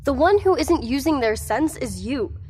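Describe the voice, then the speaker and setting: An actress plays a distrustful woman with a high voice in a reverberant space. She is pointed and sardonic, pushing back against authority in audio drama style. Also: expressive, moderate pace, a little mellow, soft background music. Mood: sardonic, defiant. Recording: long hall-like reverb.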